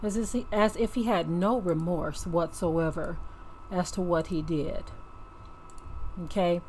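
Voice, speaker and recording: A woman's voice: on the low side, measured pace, a little mellow. Emphatic woman commentator, noisy background. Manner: emphatic, repeats phrases, seeks agreement with okay and right. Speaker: host of a religious commentary channel. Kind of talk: off-the-cuff commentary to viewers.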